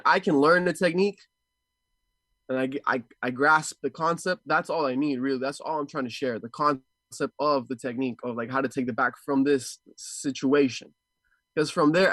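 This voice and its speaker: Male martial artist, interview guest, medium pitch. Casual, thoughtful, halting delivery with repeated words. Dry recording. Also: fairly bright tone, no echo. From Ecuador and Houston, USA. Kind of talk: casual, reflective interview talk.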